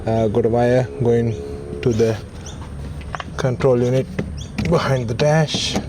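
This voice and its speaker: A man talks through a product in a casual, low-key way, taking his time between phrases. His pitch is average, and the delivery is plain and a bit hesitant. Clear vehicle noise sits behind the voice. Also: fairly even intonation, slow. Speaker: vlogger demonstrating a product. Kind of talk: casual unboxing and install walkthrough. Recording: clearly noisy, vehicle ambience.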